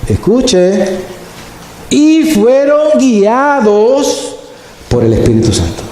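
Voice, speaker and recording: A male pastor preaching a sermon slowly and deliberately, stretching words for emphasis. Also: very high voice, big pitch swings, mellow. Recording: very echoey hall, faint noise.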